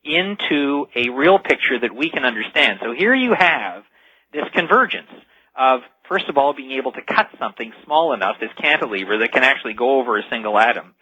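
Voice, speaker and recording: A man's voice: medium pitch, animated, fairly quick, pushed and bright, breathy edge, dry recording. A man speaking as an expert radio guest, explaining technology informally in dull, lo-fi interview audio.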